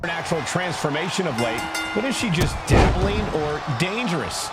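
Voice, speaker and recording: A man's voice: on the high side, sing-song, normal speed. A man playing a polished lead announcer in a wrestling video game, with emphatic broadcast delivery. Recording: loud music under commentary.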